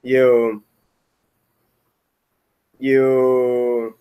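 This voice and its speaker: A man's voice: medium pitch, monotone, very slow, clean tone, muffled recording. A man chatting casually in a remote meeting, talking in a hesitant, rambling, hedging way. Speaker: software team member in a retrospective meeting.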